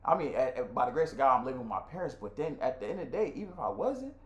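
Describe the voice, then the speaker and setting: A young man with an American accent, talking casually and candidly as a podcast co-host in an animated, slangy way. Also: mid-range voice, rapid-fire, highs cut off. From Nigeria, left at six for the United States. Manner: animated, slangy, often addressing his friend as bro. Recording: echoey room with faint noise.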